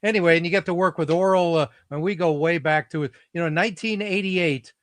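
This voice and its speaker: A middle-aged man hosting an interview, chatty and eager, quick to react and laugh. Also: a little high, no room sound. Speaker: podcast host. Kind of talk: casual interview conversation.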